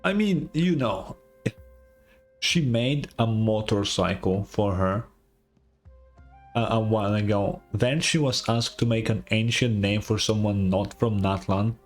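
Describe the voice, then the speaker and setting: A man's voice: on the low side, measured pace. A man giving casual, offhand reaction commentary as he streams a game. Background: game music.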